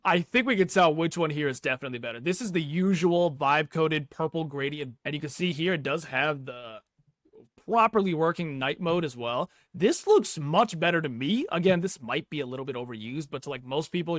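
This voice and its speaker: A man's voice: on the high side, expressive, fairly quick, fairly bright tone, dry recording, studio quality. A man giving casual, unscripted tech commentary over a screen recording, slangy and reacting aloud as he goes. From Pakistani background. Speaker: tech YouTuber, host.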